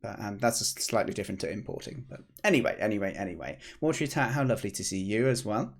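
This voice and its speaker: A British man in his thirties, a game developer hosting a casual devlog stream, slightly hoarse, chatty and rambling with restarts, at a desk mic in a home office. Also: on the low side, expressive, fast talker.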